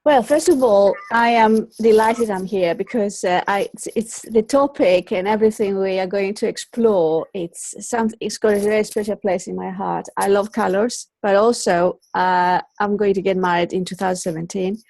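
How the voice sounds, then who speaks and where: A middle-aged woman with a Greek accent, a native Greek speaker, telling her own story as an interview guest in an expressive, wandering way with repeated words. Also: medium pitch, normal speed, few pauses, dry recording. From Greece. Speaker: interview guest, colour psychologist and teacher.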